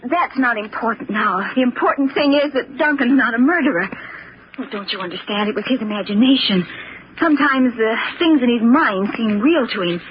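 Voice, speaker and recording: A woman's voice: fairly high pitch, lively intonation, a little fast, lightly acted. An actress playing a frightened, earnest young woman in an old-time radio drama, pleading anxiously with hesitations. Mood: urgent, anxious. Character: frightened, earnest young woman protecting her troubled brother. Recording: dull, noisy old radio recording.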